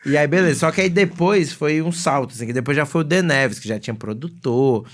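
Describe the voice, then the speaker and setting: A Brazilian man hosting a podcast, telling band stories in an animated, slangy way. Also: fairly high pitch, a little fast. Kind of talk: casual chat.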